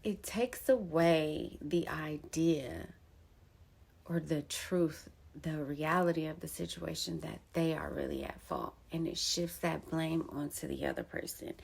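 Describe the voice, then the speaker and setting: A woman's voice: low voice, very animated, measured pace, gravelly, a little mellow, slightly rough. A young woman telling her own story of betrayal in an emphatic, casual way with plenty of slang. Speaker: poet and storyteller. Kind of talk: candid personal storytelling.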